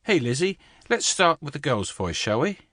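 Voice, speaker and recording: Casting: woman as polite, clipped audiobook director, low register, dry studio sound. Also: big pitch swings, normal speed, a bit bright, no echo, exaggerated voice. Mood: polite, dismissive.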